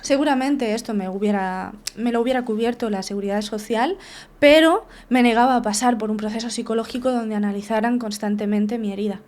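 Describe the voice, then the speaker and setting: A woman's voice: a little high, a little fast, darkish tone. A woman, a therapist and guest, frankly telling a personal story in an interview, with restarts and many tag questions.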